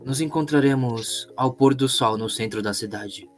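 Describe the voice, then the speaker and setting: A man voicing a calm, courteous traveler with formal, measured speech in a fantasy roleplay. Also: medium pitch, lightly acted. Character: calm, courteous male traveler speaking formally to guards and companions. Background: background music.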